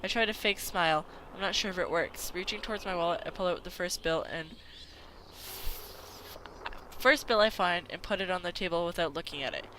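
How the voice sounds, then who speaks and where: Gamer reading visual novel out loud, bright forceful voice, kinda stumbly, bit of background noise. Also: medium pitch, quick pace, hardly any pauses, rough recording. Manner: stumbles over words and repeats them. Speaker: video game player reading a visual novel aloud. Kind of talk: amateur dramatic read-aloud of game text.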